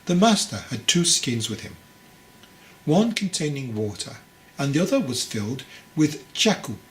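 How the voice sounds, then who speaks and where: A man's voice: medium pitch, sing-song, normal speed, fairly bright tone. Man narrating a story, slightly noisy recording. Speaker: author reading own book.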